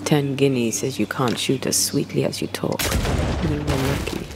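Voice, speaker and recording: Game co-lead: perceptive ghost woman, creaky voice, dry teasing wit, knowing manner. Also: rumbling low, steady tone, normal speed, background noise. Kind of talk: dramatic game cutscene acting.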